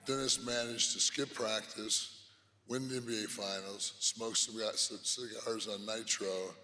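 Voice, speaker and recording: A hoarse man who has lost his voice, giving an induction speech with dry jokes. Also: lowish voice, steady tone, a little slow, pushed and bright. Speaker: hall of fame inductor. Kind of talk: ceremony speech. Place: large arena hall. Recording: hall reverb, faint crowd ambience.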